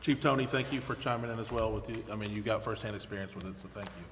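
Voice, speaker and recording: A man's voice: lowish voice, very fast, a bit bright. A man chairing a council meeting, moving between formal procedure and casual asides. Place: large indoor council chamber. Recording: reverberant council chamber, room noise.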